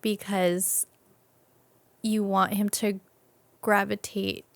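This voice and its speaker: Woman with slight vocal fry, unhurried. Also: mid-range voice, level tone, no echo. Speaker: interview guest. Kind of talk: casual interview conversation.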